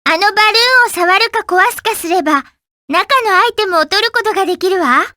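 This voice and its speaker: A woman's voice: extremely high pitch, lively intonation, rapid-fire, bright, forceful tone, no echo, clean studio sound, exaggerated voice. A woman voicing a perky, very young-sounding girl companion in a video game, with girlish, chatty delivery. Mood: curious, helpful. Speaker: in-game hint-giving companion. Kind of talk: Japanese video game voice acting.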